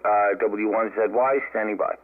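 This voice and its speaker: An American man working as an amateur radio operator, speaking haltingly in an unscripted exchange over a radio link. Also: on the low side, fairly even intonation, heavy background noise. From United States. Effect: radio transmission. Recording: narrow band-limited ham radio audio. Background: radio band sound.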